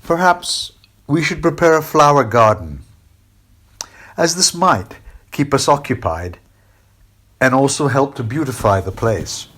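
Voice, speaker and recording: Man voicing a husky, courteous male political prisoner. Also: mid-range voice, very animated, unhurried, pauses often, fairly bright tone, full character voice. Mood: gently earnest.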